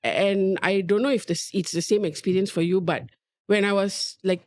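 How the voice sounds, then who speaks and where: A woman's voice: medium pitch, fairly even intonation, moderate pace, dry recording, clean studio sound. A woman in her forties speaking as a guest, reflective and frank, with lots of "like". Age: 40s. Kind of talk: candid conversation.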